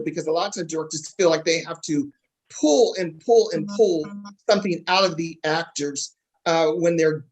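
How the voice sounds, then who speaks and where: A talk show host with a husky voice, addressing guests and viewers in an emphatic, encouraging way that repeats phrases for stress. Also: medium pitch.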